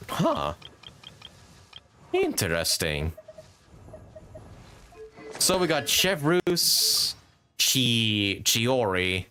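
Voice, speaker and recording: A man's voice: fairly low pitch, a bit bright. A man livestreaming a game with casual commentary, musing and thinking aloud. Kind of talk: casual live gaming commentary. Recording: game music behind.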